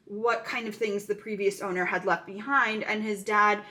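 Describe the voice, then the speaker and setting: A woman's voice: mid-range voice, fairly even intonation, normal speed, bright, forceful tone. A woman casually recapping a thriller movie plot, chatty and full of "um" fillers.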